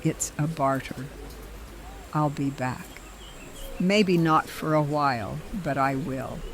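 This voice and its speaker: An actress voicing a calm, formal and assured mysterious woman trader. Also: low voice, a little hiss or hum, poor audio. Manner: measured, deliberate, formal.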